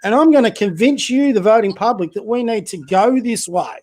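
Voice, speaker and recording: High-voiced blunt man. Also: normal speed, a little mellow. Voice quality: high-pitched voice. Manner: blunt, opinionated, full of rhetorical questions and hesitant restarts. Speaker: community basketball coach, podcast guest. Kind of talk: casual political chat.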